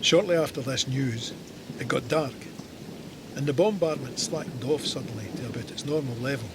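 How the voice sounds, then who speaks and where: A man's voice: normal speed, natural voice. An actor playing a Scottish soldier narrating his war memoir in scripted audio drama style. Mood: measured, grave. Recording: loud storm and rumble effects behind.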